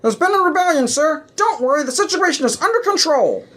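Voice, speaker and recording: Really high bossy turtle trooper, curt military orders, silly audio play. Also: animated, fairly quick, fairly bright tone. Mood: firm.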